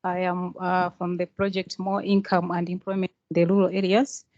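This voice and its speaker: A woman from Malawi who works on a project, asking a question at a workshop in measured speech with frequent pauses. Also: on the low side, little pitch movement, a little mellow, no room sound, muffled recording. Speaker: project worker. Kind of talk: conference floor question.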